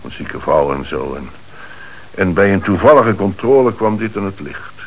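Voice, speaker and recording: An actor playing a hesitant, formal bank manager under questioning in a radio play. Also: male voice, low-pitched, lively intonation, moderate pace, gravelly, heavily acted, low-quality recording. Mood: earnest, hesitant. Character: troubled, lenient male bank manager under police questioning. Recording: hissy old radio play recording.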